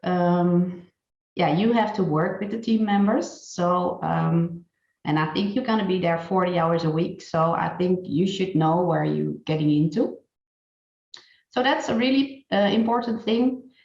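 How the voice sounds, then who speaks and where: A woman giving an online presentation and interviewing a colleague, halting and full of fillers. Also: on the low side, creaky, darkish tone. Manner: hesitant, many fillers and restarts. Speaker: presenter and recruiter. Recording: dull, roomy online call audio.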